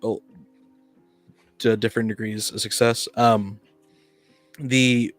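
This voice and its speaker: A man in a casual podcast discussion, explaining his thinking in a halting, explanatory way. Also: medium pitch, a bit bright. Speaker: podcast speaker on tabletop gaming.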